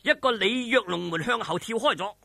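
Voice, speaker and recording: A man's voice: high-pitched, moderate pace, vocal fry, sharp tone, no room sound. A man with a Hong Kong Cantonese accent narrating a martial arts story in a theatrical, colloquial, emphatic way. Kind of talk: dramatic wuxia audiobook narration.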